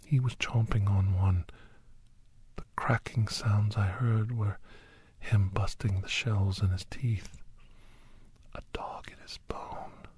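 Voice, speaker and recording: A man playing a grave doctor in an ASMR horror story, whispering deliberately in a breathy, husky voice, with pauses and repeated phrases. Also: lowish voice, steady tone, a little mellow, full character voice. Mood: hushed, suspenseful. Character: Doctor Andrew Michaels, grave male storyteller recounting a werewolf encounter. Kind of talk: whispered ASMR dramatic first-person storytelling.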